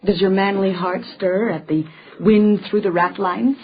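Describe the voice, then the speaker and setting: Young female newspaper editor, forthright, wry, teasing, medium-pitched, radio drama with dulled sound. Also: fairly even intonation, a little slow, husky, muffled recording. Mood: brisk, teasing. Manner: forthright, wry, clipped banter. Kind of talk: period radio drama dialogue.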